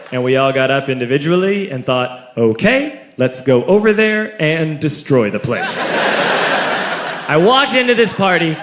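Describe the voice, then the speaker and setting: A man telling a long story as a stand-up comedian, talking casually and building to shouted punchlines in front of a live audience. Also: on the high side, noisy background. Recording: echoey club with audience laughter.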